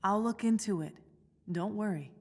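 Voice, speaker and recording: A woman voicing a steady, serious, determined young ally in a video game, in a reverberant space. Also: medium pitch, normal speed, husky. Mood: firm, reassuring. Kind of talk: naturalistic video game voice acting. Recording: long reverberant room echo.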